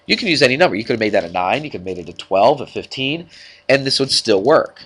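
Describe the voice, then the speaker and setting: A man's voice: mid-range voice, animated, fast, a bit bright, breathy edge. A man with a stuffed-up nose teaching math to a class, talking informally and checking in with frequent questions. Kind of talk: classroom worked-problem lesson.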